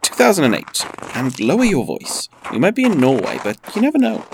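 Man as spy boss, formal, courteous, guarded, veiled menace, audio drama, very lively intonation. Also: a little high, brisk, gravelly, background noise, exaggerated voice. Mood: brisk, reassuring.

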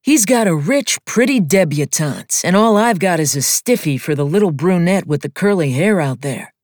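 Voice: mid-range voice, expressive, normal speed, no echo, studio quality, voice pushed up, close to an everyday voice. A narrator voicing a brash, joking young male co-pilot in an audiobook. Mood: teasing. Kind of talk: audiobook character voice.

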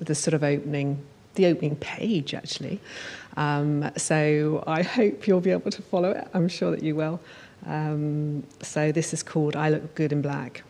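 A woman with a slightly low voice reads her own crime story from a stage. She delivers it as an expressive storyteller, in the first person, as a writer at a live literary reading. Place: on stage at a live showcase event in a hall.

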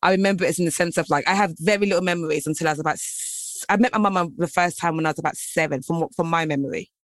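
A British woman chatting casually as a co-host, telling stories in an animated, informal way. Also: fairly low pitch, quick pace, no room sound. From England, early childhood in Nigeria. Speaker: podcast co-host. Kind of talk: casual chat.

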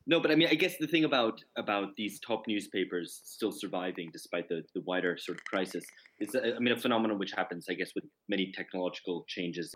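Fast-talking deep husky man. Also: a bit bright, rough recording. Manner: quick, tentative, hedging with "I guess". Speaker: podcast co-host. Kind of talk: casual chat.

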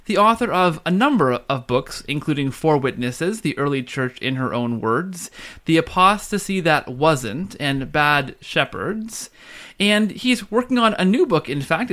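A man's voice: high voice, pushed and bright. A man hosting a podcast in a conversational, enthusiastic way, introducing and interviewing. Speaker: podcast host.

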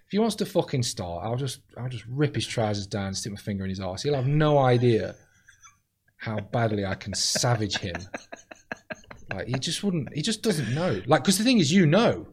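A man, a comedian guest on a podcast, chatting casually in a deadpan, sweary way. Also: mid-range voice, moderate pace, husky.

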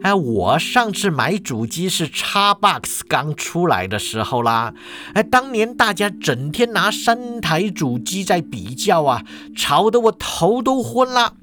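A man's voice: sits high, very animated, measured pace, sharp tone, voice pushed up, heavily acted. A man voicing a grumpy, dismissive older school principal who scoffs in an exasperated way. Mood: scoffing.

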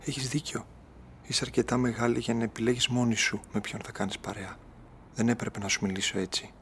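A man's voice: medium pitch, level tone, a bit bright, a little hiss or hum, close to an everyday voice. An actor playing a shy, apologetic man pining for a woman in a radio drama, speaking timidly and hesitantly.

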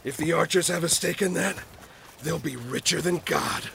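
An actor playing a gruff, guilt-ridden middle-aged small-town American man in an audio drama, husky-voiced, blunt and folksy. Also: fairly high pitch, moderate pace, sharp tone, slight background noise, exaggerated voice. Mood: urgent. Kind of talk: naturalistic audio drama acting.

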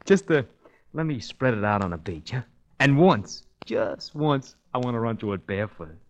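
A man acting as an excitable American sidekick in a vintage radio adventure. He is slangy, eager and comic, with a medium-pitched voice on a dull old recording. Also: moderate pace, close to an everyday voice. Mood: gleeful. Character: excitable, slangy, money-loving male sidekick. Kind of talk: 1940s radio drama acting.

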